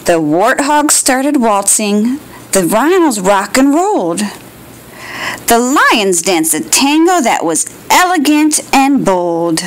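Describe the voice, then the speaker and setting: A woman reading a picture book aloud to her young niece at home, in an animated, sing-song way and stopping to point out the pictures. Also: fairly high pitch, slow, rough recording.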